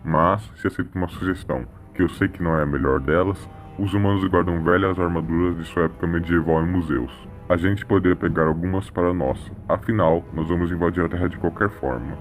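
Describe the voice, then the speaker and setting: A man voicing a gruff, proud alien soldier with guttural grunts between phrases, in an audio drama. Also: deep voice, steady tone, brisk, hardly any pauses, faint music behind, pitched below the performer's own voice, close to an everyday voice. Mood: deferential.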